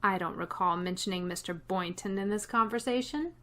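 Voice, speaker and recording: A woman's voice: medium pitch, fairly quick, a bit bright, lightly acted. A woman playing a wry schoolteacher in a radio sitcom, with a dry, sarcastic manner. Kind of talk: old-time radio sitcom acting.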